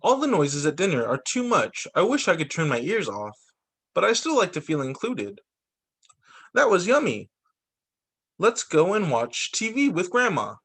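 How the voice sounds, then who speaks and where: Animated teacher reading a children's story aloud. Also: mid-range voice, slightly rough. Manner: expressive, acting out characters' lines. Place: remote video story time for distance learning.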